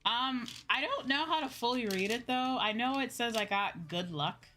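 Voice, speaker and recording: A woman's voice: on the high side, lively intonation, moderate pace, pushed and bright, a little hiss or hum. A young woman streamer chatting casually with viewers in a hesitant, rambling way, often repeating her phrases. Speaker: VTuber streamer. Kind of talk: casual livestream chat with viewers.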